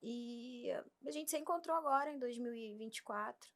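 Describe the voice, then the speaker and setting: Bright-voiced woman with a cold. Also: on the high side, normal speed. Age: middle-aged. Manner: frank, emphatic. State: has a cold. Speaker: guest. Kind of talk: casual chat.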